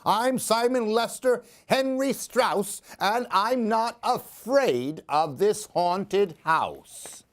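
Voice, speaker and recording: A male storyteller reads for children in a high voice that swings widely in pitch. He takes his time, giving the rhymes a theatrical, singsong lilt. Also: expressive, very slow, few pauses. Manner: theatrical, singsong rhyming delivery. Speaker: storyteller reading aloud. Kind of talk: expressive children's story reading.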